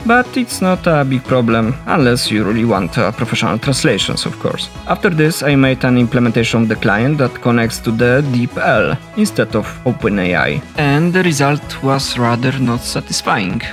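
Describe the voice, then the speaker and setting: A man with a Polish accent narrates a game development log in a steady, explanatory voice at a mid pitch. Soft music plays faintly behind him. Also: moderate pace, hardly any pauses. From Poland. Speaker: solo indie game developer. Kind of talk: scripted devlog narration.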